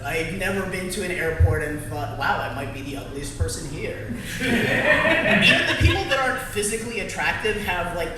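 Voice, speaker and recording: A young man doing a stand-up set, delivering dry jokes with many halting fillers. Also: high-pitched, distant mic, noisy background. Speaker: stand-up comic. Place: small comedy club. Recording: echoey room, mic heard from afar. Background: crowd ambience and laughter.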